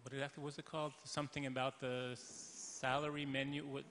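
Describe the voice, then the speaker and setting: A man in the audience asks a question in a hesitant, polite way, his voice flat and level. He sounds distant in a large reverberant hall with faint noise behind. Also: medium pitch, fairly quick. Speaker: audience member asking a question.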